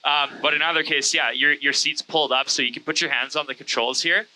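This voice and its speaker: Very bright, sharp-voiced man. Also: a little high, steady tone, a little fast, slight background noise, poor audio. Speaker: host, pilot. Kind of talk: casual chat and hands-on instruction. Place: small airplane cockpit in flight.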